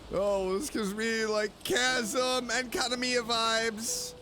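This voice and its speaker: A man doing casual live gameplay commentary, expressive, reacting aloud and talking to the characters. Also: high voice, creaky. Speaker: game streamer.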